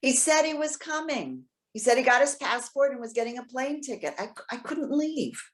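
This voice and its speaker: Casting: actress as elderly woman, lovelorn and resentful, curt and sullen, widely swinging pitch, stage drama reading. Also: animated. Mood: pleading, crushed. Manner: curt, sullen, halting when pleading. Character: elderly stubborn lovelorn mother, resentful and dejected. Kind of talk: readers theater stage acting.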